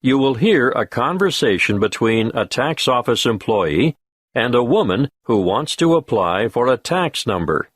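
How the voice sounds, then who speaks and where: A man reading exam instructions as a narrator in a formal, deliberate announcer style. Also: mid-range voice, sing-song, husky, dry recording, clean studio sound.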